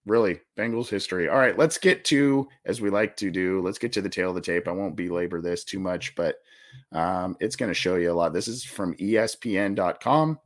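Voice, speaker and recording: Raspy-voiced man breaking down stats. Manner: halting restarts, animated jumps in pitch on big numbers. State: hoarse, scratchy throat. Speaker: sports podcast host. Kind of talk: postgame stat breakdown.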